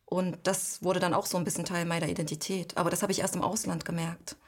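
Thoughtful woman with a lowish voice. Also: level tone. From East Germany. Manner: thoughtful, searching for words with frequent pauses. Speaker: guest. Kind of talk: reflective personal interview.

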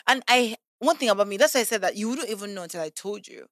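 A woman's voice: mid-range voice, quick pace, dry recording, studio quality. A woman, chatting as a podcast guest and acting out the voices of people she quotes. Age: 20s. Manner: animated, acts out quoted voices. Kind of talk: casual conversation.

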